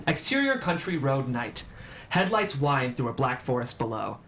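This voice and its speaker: A man's voice: mid-range voice, big pitch swings, unhurried, a bit bright, a little hiss or hum. A man hosting and narrating a screenplay table read, presenting the script to an audience. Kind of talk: show presenting and scripted stage-direction narration.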